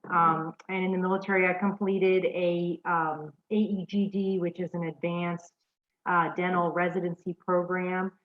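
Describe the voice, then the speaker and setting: A woman's voice: fairly low pitch, level tone, normal speed. A woman speaking sincerely and gratefully on a video call. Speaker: dentist, alumna speaker. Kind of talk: virtual panel introduction. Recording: tinny phone-like audio.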